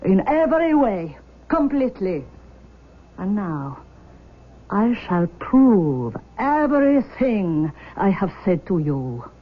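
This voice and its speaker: An actress playing an intense, imperious forty-year-old Russian mother in a 1970s radio drama, speaking in emphatic, deliberate declarations. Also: medium pitch, big pitch swings. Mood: fervent, intense. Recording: muffled vintage radio broadcast recording.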